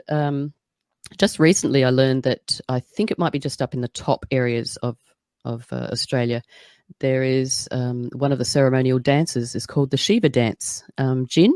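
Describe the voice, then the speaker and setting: A man hosting a podcast in an animated, chatty way, with many fillers. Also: mid-range voice, clean tone, dry recording, clean studio sound. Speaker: podcast host. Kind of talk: casual chat.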